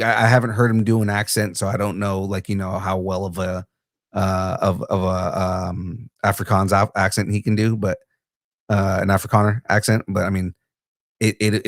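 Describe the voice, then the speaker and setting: A man's voice: low-pitched, dry recording, clean studio sound. A man co-hosting a pop culture podcast, giving earnest opinions in casual chat with frequent fillers and restarts. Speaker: podcast co-host.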